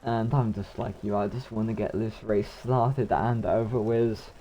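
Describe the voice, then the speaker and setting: A young man commentating a live online racing league, hoarse with his voice nearly gone, rambling and talking to himself while he waits for action. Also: lowish voice, fairly even intonation, unhurried, dark tone.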